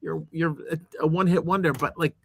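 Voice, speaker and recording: A man's voice: a little high, steady tone, fast talker, vocal fry, noisy background. A man co-hosting a podcast, rambling and hesitant, telling stories in comedic banter. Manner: rambling, hesitant, full of ums. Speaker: podcast co-host.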